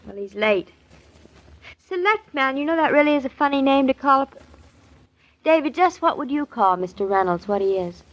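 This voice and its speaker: A teasing young wife in a vintage radio drama, giggling and playful. Her voice is high. The audio is dull and muffled like an old broadcast. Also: expressive, normal speed. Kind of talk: 1940s radio acting.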